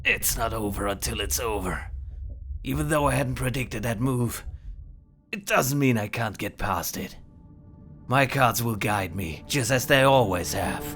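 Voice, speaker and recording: A man's voice: medium pitch, a little slow, fairly bright tone. A man playing a determined, earnest hero duelist in a fan audio drama. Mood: resolute, stern. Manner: earnest, resolute. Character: determined, brooding male hero duelist, kind to companions. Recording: music behind the voice.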